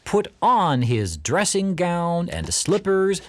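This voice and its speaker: A man narrating a classic story in audio theatre, with measured, emphatic, expressive delivery. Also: high-pitched.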